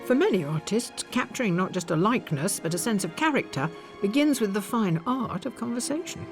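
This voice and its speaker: A woman presenter reading scripted narration in a measured, descriptive way. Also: medium pitch, animated, faint music behind.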